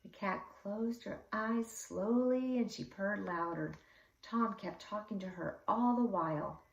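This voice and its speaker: A woman reading a children's story aloud expressively, with deliberate, dramatic emphasis for young listeners. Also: medium pitch, creaky, muffled recording. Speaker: read-aloud storyteller for schoolchildren. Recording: echoey room, slightly distant mic.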